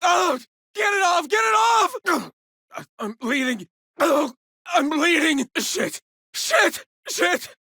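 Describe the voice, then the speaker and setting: A zealous game-character cult leader with a bright, forceful, fairly high voice. He preaches in grandiose, theatrical phrases that swing widely in pitch. The recording is dry and close. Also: lively intonation, slow pace, creaky, no echo, exaggerated voice. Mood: panicked, pained. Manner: grandiose, sermonizing, theatrical oratory. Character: zealous, preachy male leader of a colonial religious movement. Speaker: movement leader. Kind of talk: scripted video game voice acting.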